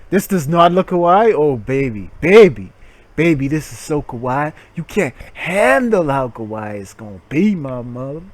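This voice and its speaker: Guy hosting a game stream, dramatic and sweary, kinda slow pace, voice jumps all over. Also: medium pitch. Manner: mock-grandiose, dramatic, with muttered asides. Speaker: livestream host. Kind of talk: theatrical game-stream commentary.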